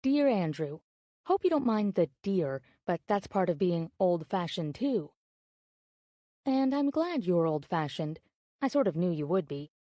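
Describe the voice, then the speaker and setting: Mellow, close-up actress as coy woman letter writer. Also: on the low side, very animated, a little slow, dry recording. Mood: coy, chatty. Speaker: audiobook narrator. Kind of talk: scripted audiobook narration.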